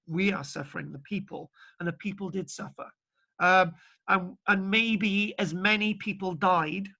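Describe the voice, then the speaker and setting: A man's voice: high-pitched, gravelly, pushed and bright. A man lecturing an online archaeology class, chatty and jokey, rambling a little as he talks. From Britain. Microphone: video call.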